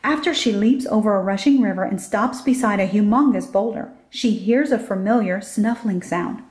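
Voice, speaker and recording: A woman's voice: mid-range voice, normal speed, a little mellow. A woman reading a children's story aloud as scripted narration. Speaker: storybook reader.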